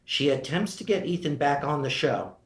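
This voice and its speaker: A man narrating screenplay directions at a table read, measured and matter-of-fact. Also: a little high, lively intonation. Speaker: narrator reading stage directions. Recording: roomy echo.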